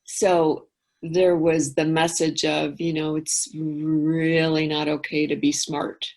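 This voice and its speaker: A middle-aged woman as an interview guest, speaking thoughtfully and candidly, often trailing off into quiet asides. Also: low-pitched, flat delivery, slow pace. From England. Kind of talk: reflective interview talk.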